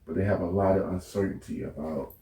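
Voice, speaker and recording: A man's voice: sits low, fairly even intonation, brisk, warm tone, a little hiss or hum. An American man hosting a casual interview, informally prompting his guests and agreeing with them. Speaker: interview host. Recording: echoey room, distant from mic.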